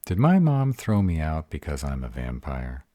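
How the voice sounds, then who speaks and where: A man's voice: very deep voice, huge pitch swings, normal speed, mellow, dry recording, studio quality, full character voice. A man playing a sarcastic, insecure young vampire in an audio roleplay, with a drawling manner. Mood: puzzled, hurt.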